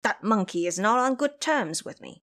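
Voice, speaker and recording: A woman's voice: medium pitch, animated, moderate pace, fairly bright tone, natural voice. Audio drama character, woman as male pig-man, cowardly, lazy, complaining, blustering. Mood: defeatist, grumbling.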